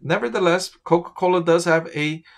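A man's voice: sits high, a little fast, creaky. Man explaining stocks conversationally to camera. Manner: conversational, asks "right?" to the viewer. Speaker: finance commentator. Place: home office with bookshelves.

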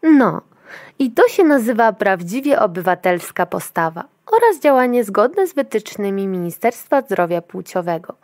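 Bossy futuristic clinic lady for an audio drama, officious, mid pitched voice, no room sound. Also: very animated, normal speed, darkish tone, no room sound, studio quality. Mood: stern, officious. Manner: clinical, bureaucratic, commanding. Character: brusque, officious female clinic attendant in dystopian future.

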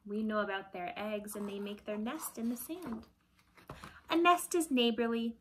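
A woman in her thirties reading aloud to children like a teacher, deliberate and expressive, with a breathy voice that often drops to a hush. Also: fairly high pitch, slight background noise. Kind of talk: children's picture-book read-aloud. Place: small indoor room at home with shelves.

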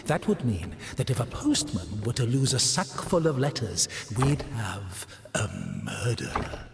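A man voicing a mocking devil in a video game, an inner voice who narrates and probes in a sardonic, theatrical, drawn-out way. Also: medium pitch, very animated. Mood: mocking, probing. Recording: echoey long reverb, noisy game audio.

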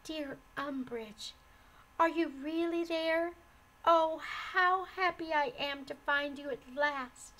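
A woman voicing a kind, loving female friend in a storybook, speaking in a gentle, earnest, reassuring way. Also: high voice, slow pace, pitched above the performer's own voice, full character voice, rough recording. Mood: joyful.

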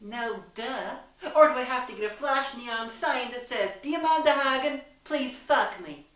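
A woman's voice: a little high, measured pace, a bit bright, voice pushed up. A woman voicing a snarky, smug, bluntly flirtatious woman in a dramatised story reading. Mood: brazen, teasing. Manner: teasing, brazen, smirking. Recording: roomy, distant from the mic.

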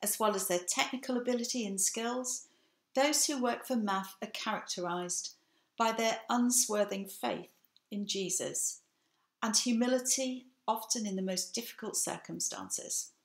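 Older English woman, sixties, reading a home sermon to webcam; earnest, gravelly, roomy sound. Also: on the high side. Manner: measured, earnest delivery. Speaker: preacher. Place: home room with bookshelf, indoors. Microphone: webcam, seated facing camera. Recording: echoey room.

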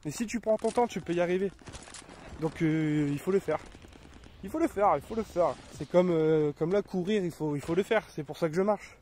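A man's voice: sits high, moderate pace, a little mellow, a little hiss or hum. A man in his thirties with a cold, chatting casually and rambling over his gameplay at home. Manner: rambling, many fillers and restarts. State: has a cold. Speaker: gaming YouTuber. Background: game gunfire and effects.

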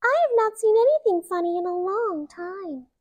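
A tiny, eager storybook mouse. The voice is squeaky and pitched very high. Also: female voice, animated, moderate pace, darkish tone, no echo, dull audio. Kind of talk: storybook read-aloud.

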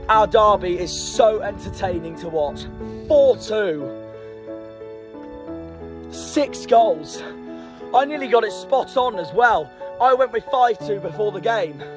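A young man, a football fan vlogging after a match, hoarse from shouting and repeating himself in excitement, filmed at arm's length. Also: high-pitched. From Plymouth, England. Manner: breathless and elated, repeating himself. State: nearly lost his voice. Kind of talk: excited post-match vlog. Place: outdoors at night, then indoors. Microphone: handheld camera at arm's length.

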